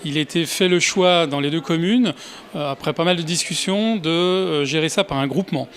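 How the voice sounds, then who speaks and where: A man's voice: a little high, moderate pace, fairly bright tone. A man giving spontaneous interview answers as a local official, hesitantly searching for his words.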